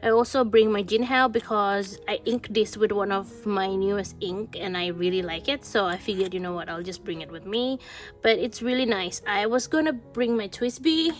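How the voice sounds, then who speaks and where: A woman's voice: medium pitch, moderate pace. A woman with a mid-range voice, a little congested from a cold, chats casually at her desk. She rambles with fillers and restarts. Faint music plays behind her. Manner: chatty, lots of fillers and restarts. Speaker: stationery vlogger. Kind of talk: casual show-and-tell chat. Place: indoors at a desk.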